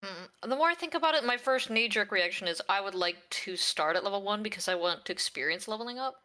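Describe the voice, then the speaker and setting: A woman's voice: medium pitch, expressive, quick pace, pushed and bright. A woman chatting casually as a guest player on a game session. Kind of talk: casual chat.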